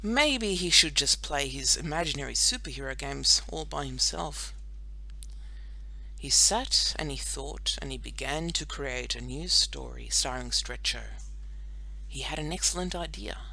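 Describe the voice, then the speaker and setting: A woman narrating a children's audiobook expressively, with dramatic pauses and drawn-out phrases. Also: very deep voice, creaky, pushed and bright, poor audio.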